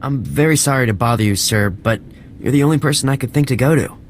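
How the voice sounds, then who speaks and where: An actor playing an earnest, anxious junior soldier in a video game, speaking with deferential urgency. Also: male voice, mid-range voice, fast talker, slight background noise, natural voice. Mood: pleading.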